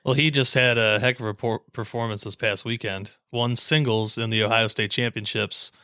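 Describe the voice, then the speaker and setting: A man's voice: mid-range voice, fairly even intonation, normal speed, bright, forceful tone, clear, resonant tone, no room sound, studio quality. A man co-hosting a sports podcast with casual, wry banter in short, clipped remarks. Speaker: podcast co-host.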